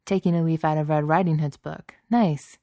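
A woman's voice: deep voice, fairly even intonation, normal speed, mellow, no room sound. A bold, skeptical man from an audiobook, acted out by a woman, talking in a casual, sarcastic way. He sounds wry and amused by nature, in a close, dry recording. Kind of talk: audiobook character voice.

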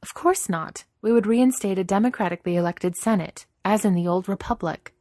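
A woman's voice: mid-range voice, brisk, darkish tone, no room sound, voice pushed up, lightly acted. A woman voicing a guarded young princess and rebel leader, speaking in a dry, assertive way. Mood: firm, wry.

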